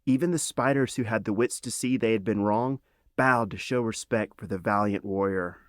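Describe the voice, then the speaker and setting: A man reading a children's fantasy audiobook as a dramatic, earnest storyteller with measured phrasing. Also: lowish voice, no echo, studio quality. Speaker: author reading own audiobook.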